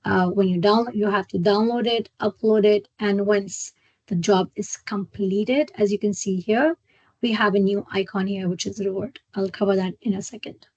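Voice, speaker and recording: A woman presenting a live software walkthrough as a trainer, explaining step by step in a halting way. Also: mid-range voice, fairly even intonation, no room sound, muffled recording. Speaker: software trainer hosting a webinar. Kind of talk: live screen-share product walkthrough.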